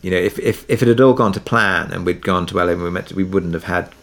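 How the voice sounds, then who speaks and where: A man with a fairly low voice speaks as a guest in a relaxed interview. He tells behind-the-scenes stories conversationally, thinking aloud as he goes. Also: fast talker. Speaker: drama producer interviewed as a guest. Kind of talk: casual interview anecdote.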